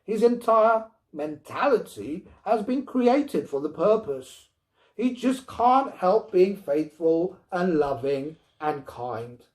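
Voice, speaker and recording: A man's voice: high voice, sing-song, takes it slowly, darkish tone, pitched above the performer's own voice, exaggerated voice. A man playing a stubborn, dismissive husband and father in a storybook reading, blustering and emphatic. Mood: insistent.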